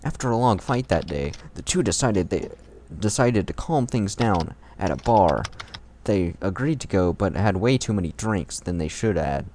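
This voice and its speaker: A man with a lowish voice reads fan fiction aloud for laughs. He is casual and amused, swears freely and breaks off to comment, over faint noise with clicks and typing from a desktop setup. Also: expressive, normal speed, a little mellow. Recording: noisy home recording with clicks and typing.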